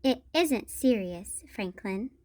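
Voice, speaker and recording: A woman's voice: on the high side, animated, slow pace, mellow, no echo. A woman voicing a kindly female bear doctor in a children's storybook, explaining things reassuringly.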